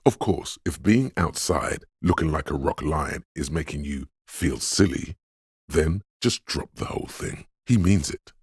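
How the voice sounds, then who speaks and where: A very deep, heavily rasping inner voice from a narrative video game. It coaxes and advises with measured, deliberate drama, intimate and insinuating, in a dry, close sound. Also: male voice, expressive, darkish tone, no room sound, full character voice. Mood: sly, coaxing. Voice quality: deep, gravelly, husky. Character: smooth, insinuating inner voice of social intuition, coaxing, intimate. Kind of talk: scripted game narration as an inner voice giving social advice.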